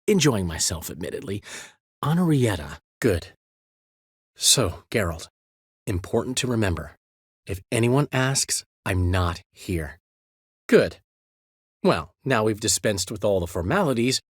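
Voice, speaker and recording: A witty, vain male bard who carries himself like a count in a video game. His delivery is theatrical and teasing, swinging widely in pitch between laughter and mock outrage. The sound is close and dry, with no room around it. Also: a bit bright, husky, no echo, exaggerated voice. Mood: chatty, rueful. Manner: theatrical, playful, bursts of laughter and exclamation.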